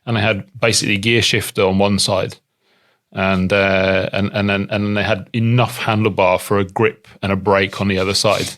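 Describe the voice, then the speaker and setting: Man, Norwegian accent, podcast host, fairly low voice, rambling. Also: level tone, normal speed, a bit bright. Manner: loose, rambling questions with many restarts. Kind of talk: casual podcast interview.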